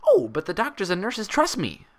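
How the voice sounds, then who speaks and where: A woman's voice: medium pitch, wildly swooping pitch, moderate pace, voice pushed up. A woman voicing a bubbly, childlike female cartoon pony who rambles and gushes breathlessly. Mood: bubbly, eager.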